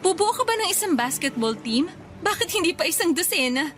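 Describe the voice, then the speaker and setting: A woman's voice: extremely high pitch, big pitch swings, brisk, fairly bright tone, heavily acted. A Filipino woman acting a young working girlfriend in a radio romance drama, coy and playfully skeptical. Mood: teasing. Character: tired, doubtful, teasing young working girlfriend.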